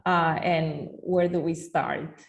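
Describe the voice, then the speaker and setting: A woman's voice: low voice, flat delivery, slow. A woman moderating a webinar over a video call, enthusiastic and hesitant with fillers.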